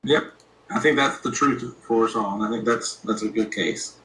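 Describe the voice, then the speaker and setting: A man's voice: lowish voice, normal speed, bright, forceful tone, echoey room, slight background noise, rough recording. A man co-hosting an interview, speaking casually and a little hesitantly.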